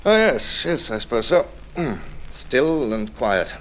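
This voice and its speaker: An actor playing a proud, restless British sea captain in an old radio drama, wry and theatrical. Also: male voice, medium pitch, big pitch swings, measured pace, a little hiss or hum, low-quality recording. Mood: wistful. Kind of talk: 1940s-50s radio drama acting. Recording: vintage radio drama recording.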